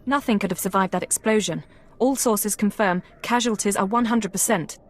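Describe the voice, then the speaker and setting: An actress playing a young, efficient intelligence analyst in a video game, with a clipped, precise, earnest manner. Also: female voice, mid-range voice, normal speed, close to an everyday voice. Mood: grave.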